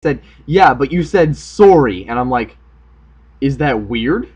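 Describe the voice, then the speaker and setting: A man's voice: medium pitch, animated, measured pace, darkish tone, breathy edge. A man from Florida with a subtly Northern American accent, chatting casually and unscripted to camera in a wry, jokey, argumentative way. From Florida, United States. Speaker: online video host.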